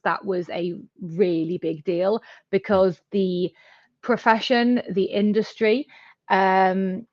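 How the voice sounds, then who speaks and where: A woman's voice: medium pitch, no echo, lo-fi sound. A British woman speaking fluently and articulately as an interview guest, describing her firm. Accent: British English. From United Kingdom. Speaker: guest, accounting firm founder. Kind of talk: conversational interview.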